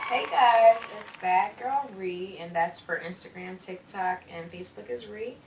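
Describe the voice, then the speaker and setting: A woman's voice: medium pitch, measured pace, vocal fry, far from the mic, heavy background noise. A young woman from the United States, a podcast co-host telling stories in casual chat and laughing often. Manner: animated storyteller, laughs often.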